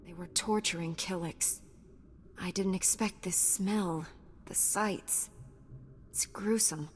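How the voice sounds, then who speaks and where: A woman's voice: fairly low pitch, fairly even intonation, takes it slowly, a little hiss or hum. A woman playing a principled, compassionate young warrior heroine in a video game, composed and earnest. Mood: troubled, firm. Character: principled, compassionate young female Jedi warrior, calm and resolute. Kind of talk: video game character acting.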